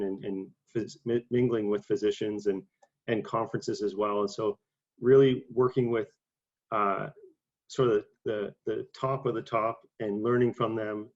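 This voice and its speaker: A young man with a low voice that barely rises or falls speaks haltingly, filling gaps with "um" and repeating words. He sounds congested from a cold. The recording is dull and lo-fi, like an online presentation. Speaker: conference speaker. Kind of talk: career talk to an online audience.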